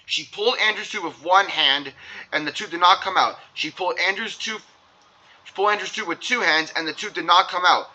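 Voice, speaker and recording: Bright high-pitched male storyteller. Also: brisk, muffled recording, a little hiss or hum. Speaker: storybook narrator. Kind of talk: animated read-aloud narration.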